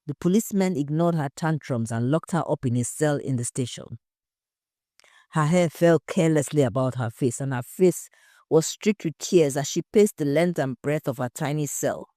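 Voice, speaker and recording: Female narrator, Nigerian accent, scripted crime storytelling: dark soft tone, close and dry. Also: low-pitched, moderate pace, no echo.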